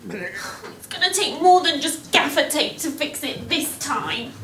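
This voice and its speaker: An actress playing a brisk, blunt British woman who works in an office, in a comedy soap. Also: high voice, very animated, a bit bright, echoey room, a little hiss or hum, full character voice. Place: office, indoors.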